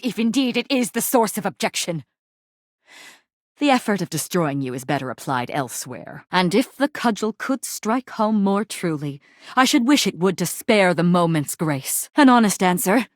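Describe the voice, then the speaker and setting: A proud warrior general from a video game, played by a woman, speaks with theatrical grandeur. The delivery is formal and melodramatic, full of archaic Shakespearean turns, in a medium-pitched voice. The sound is dry, with no room around it. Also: no room sound, lightly acted. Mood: urgent.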